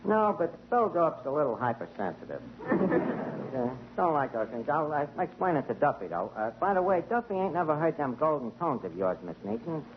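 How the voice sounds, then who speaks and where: A man's voice: a little high, very animated, normal speed. A man, acting in a 1940s radio comedy as a wisecracking, streetwise tavern manager. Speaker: tavern manager character Archie. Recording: muffled, noisy old radio broadcast.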